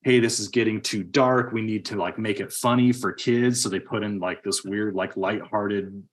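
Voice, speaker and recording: A man's voice: fairly low pitch, fairly even intonation, moderate pace. A middle-aged man giving his opinions in a casual, rambling movie podcast chat. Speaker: podcast host.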